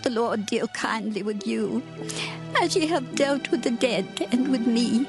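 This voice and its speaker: A woman's voice: on the high side, a little slow. An actress playing a sorrowful, bitter older widowed mother-in-law in a dramatized scripture reading, speaking in earnest, solemn archaic phrases. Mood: tender. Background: music.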